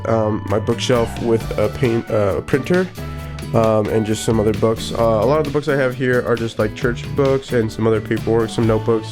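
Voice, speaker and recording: Brief: young adult male vlogger, middle-pitched, casual and rambling, small home office, faint guitar music behind. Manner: rambling and upbeat, lots of fillers and restarts. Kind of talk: casual vlog studio tour.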